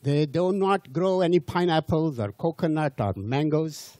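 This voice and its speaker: A man from India, not a native English speaker, giving an unhurried public lecture to an audience and restarting and repeating words as he goes. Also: a little high, mellow, no echo.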